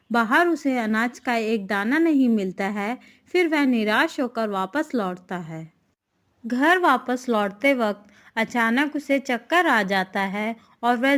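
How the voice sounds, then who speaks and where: Slow, high-voiced woman storyteller. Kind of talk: scripted moral story narration for a cartoon.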